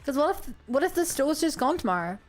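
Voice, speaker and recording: A woman with a New Zealand accent streams a game in a high voice. She chats casually and reads text aloud, with faint music behind her. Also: sing-song, fast talker.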